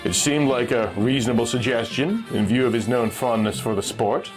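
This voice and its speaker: A man's voice: mid-range voice, animated, moderate pace, rough recording. A man narrating a pulp adventure story from a script, as a storyteller reading aloud. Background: music.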